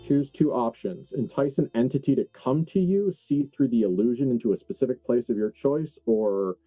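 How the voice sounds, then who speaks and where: A man acting as game master, narrating a tabletop horror roleplaying game in deliberate, halting phrases. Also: medium pitch, mellow, no room sound, faint music behind.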